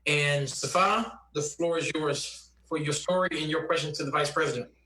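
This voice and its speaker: A man moderating a town hall who talks quickly with fillers and restarts as he introduces a guest. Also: a little high, steady tone, pushed and bright.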